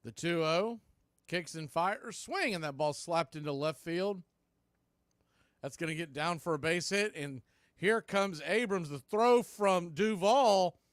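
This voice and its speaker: Male play-by-play announcer, slightly high register, clipped live commentary, very dry studio-close sound. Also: lively intonation, unhurried, no echo.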